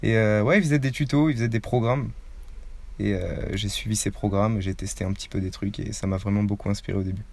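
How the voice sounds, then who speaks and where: A man's voice: fairly low pitch, steady tone, quick pace, creaky, darkish tone. An eighteen-year-old man from the Paris suburbs answering interview questions in a chatty, rambling way. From Paris suburbs, France. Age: teens. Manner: chatty, rambling, eager storyteller. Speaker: guest athlete.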